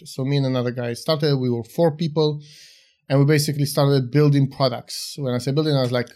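A man's voice: mid-range voice, darkish tone. A man in his forties who grew up in Israel, a startup investor chatting frankly in an interview, joking and filling gaps with "uh". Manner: frank and joking, with frequent "uh" fillers. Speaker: guest, venture investor. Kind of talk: casual interview chat.

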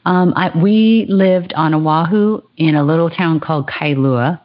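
A woman's voice: sits low, a little slow, dull audio. A woman from Hawaii, a podcast guest telling stories about her childhood and laughing easily. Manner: warm storytelling, laughs easily. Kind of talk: podcast interview.

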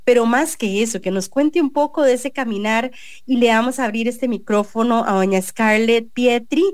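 A woman's voice: on the high side, moderate pace, dry recording, clean studio sound. Earnest Costa Rican woman radio host. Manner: earnest, many restarts and fillers. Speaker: radio show host and journalist. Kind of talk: editorial commentary and interview.

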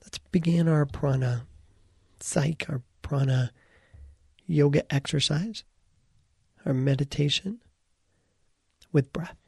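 A man leading a guided meditation as its teacher, speaking in short, deliberate phrases with pauses and a gravelly, husky voice. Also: fairly high pitch, dark tone, no room sound, clean studio sound.

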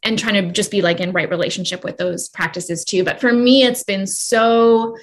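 A woman's voice: mid-range voice, steady tone, moderate pace. Rambling, reflective woman guest. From Canada. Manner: rambling, reflective, many fillers. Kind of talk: conversational interview.

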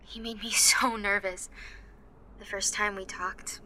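Anxious, bright-voiced teenage girl. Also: medium pitch, level tone, poor audio. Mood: tender, confiding. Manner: confiding, halting, with nervous laughs and sighs. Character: anxious, self-deprecating teenage girl with superpowers. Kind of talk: first-person audio drama narration and dialogue.

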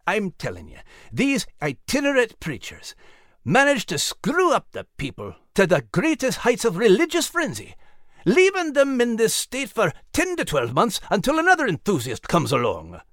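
A woman's voice: medium pitch, wildly swooping pitch, moderate pace, no room sound, studio quality, voice pushed up. A woman playing an indignant, pompous male clergyman in a historical children's story, arguing in a huffy manner. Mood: alarmed, indignant. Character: indignant, pompous colonial Anglican clergyman uncle.

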